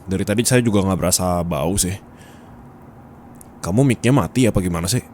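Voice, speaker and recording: Man playing a low-voiced, bossy Jakarta office boss. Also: brisk, lightly acted. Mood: defensive, nagging. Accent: Indonesian, Jakarta colloquial. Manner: scolding, teasing, blunt, informal. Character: bossy, nagging, irritable male office boss. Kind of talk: intimate ASMR roleplay acting. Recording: steady background ambience noise.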